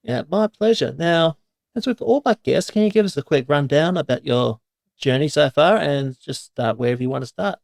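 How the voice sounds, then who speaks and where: A man's voice: fairly high pitch, lively intonation, moderate pace, gravelly, darkish tone, dry recording. A man hosting a podcast who introduces his guest in a chatty, rambling, enthusiastic way. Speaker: podcast host. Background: music.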